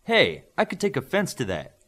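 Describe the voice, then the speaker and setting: Man voicing a teasing young superhero girl, pitched up. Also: huge pitch swings, moderate pace, sharp tone, heavily acted. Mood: teasing, curious.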